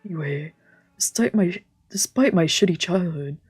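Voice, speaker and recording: A woman voicing an anxious, wistful, androgynous young man from a visual novel, in a casual, confiding and halting way. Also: lowish voice, a little mellow, breathy edge. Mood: wistful, earnest. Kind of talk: reading visual novel dialogue aloud. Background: game music.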